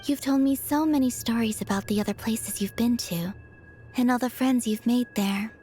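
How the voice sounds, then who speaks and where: A woman voicing a gentle, wistful young moon goddess in a game cutscene, speaking softly and sincerely in a measured, breathy way. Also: a little high, faint music behind, natural voice. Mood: warm, fond.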